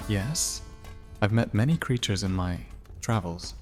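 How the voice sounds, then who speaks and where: Guy playing a curt wary thief, low voice, fantasy audio drama, cavernous echo w music under it. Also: lively intonation, a little slow. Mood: distrustful. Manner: terse, wary, dismissive. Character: guarded, curt, impatient wandering thief.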